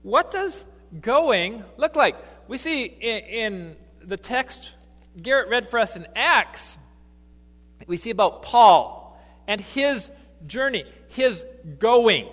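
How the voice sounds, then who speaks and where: A man, a lay missionary, preaching a sermon in a church, halting with fillers and restarts. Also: extremely high pitch, animated, a bit bright, in a large hall. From grew up partly in Ecuador, then US.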